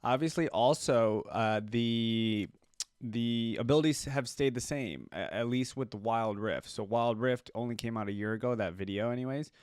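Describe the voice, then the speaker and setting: A man's voice: mid-range voice, moderate pace, darkish tone, clear, resonant tone, no room sound, clean studio sound. A man hosting a casual reaction video, rambling and thinking aloud as he comments.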